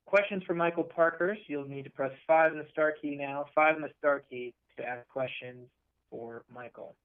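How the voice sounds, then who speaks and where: A man's voice: on the high side, moderate pace, clear, resonant tone. A man moderating a press conference call in an orderly way, heard over a phone line.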